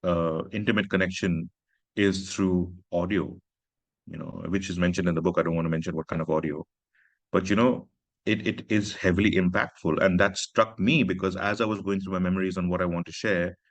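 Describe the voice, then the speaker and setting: A man's voice: sits low, dry recording. A man from Pakistan, an author speaking earnestly in a reflective conversation. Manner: earnest, measured, personal storytelling.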